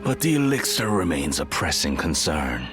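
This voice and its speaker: A man playing a grave, authoritative mentor in a video game set in ancient China, measured and solemn. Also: a bass voice, big pitch swings, over music. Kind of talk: dramatic video game cutscene acting.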